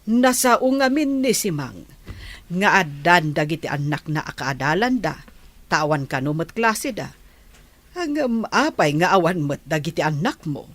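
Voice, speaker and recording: A woman's voice: lowish voice, very animated, measured pace, a bit bright, full character voice. A woman playing a nosy, probing village neighbor with an Ilocano accent in a radio comedy drama.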